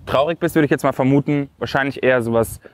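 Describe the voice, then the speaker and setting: A man's voice: medium pitch, fast. A young man hosting a casual outdoor interview, easygoing and friendly, asking questions with many hesitations. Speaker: interviewer, host. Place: outdoors on steps by a river.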